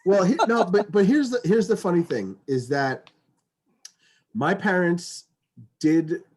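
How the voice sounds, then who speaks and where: Slow-paced man, middle-aged. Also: high-pitched, a little mellow, husky. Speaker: podcast co-host. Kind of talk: casual comedic podcast chat.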